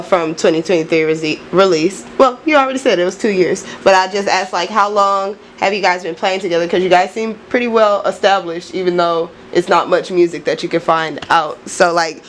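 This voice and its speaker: A woman's voice: on the low side, slight background noise. A woman interviewing a band in a casual, upbeat way, with loose phrasing and frequent small laughs.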